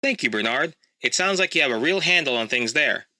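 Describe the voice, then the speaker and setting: A man's voice: medium pitch, animated, fairly quick, bright, forceful tone, no room sound, clean studio sound. A man playing a polished TV news anchor.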